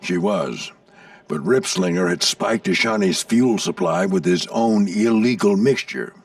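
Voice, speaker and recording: A seasoned military airplane in an animated game, a folksy mentor with natural authority. His voice is gravelly and his pitch moves a lot as he spins his tales. The sound is very dry. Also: measured pace, no room sound, distant mic, full character voice. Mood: wry, nostalgic. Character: seasoned male navy plane, gruff mentor and storyteller. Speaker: commanding instructor recounting past races.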